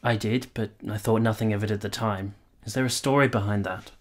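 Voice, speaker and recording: A man's voice: on the low side, steady tone, brisk, close to an everyday voice. Man acting earnest, formal young officer, literary narrator. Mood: gentle. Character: ardent, self-regarding young male army officer in 1870s Italy. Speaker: narrator and lead character. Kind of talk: first-person literary radio drama narration.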